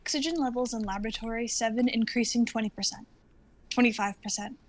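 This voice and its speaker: Cold, high-voiced woman playing a laboratory AI. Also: a little hiss or hum, lightly acted. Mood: impassive. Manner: flat, measured, robotic announcements. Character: female laboratory AI, cold, obedient, impassive. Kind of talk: live dramatic script reading.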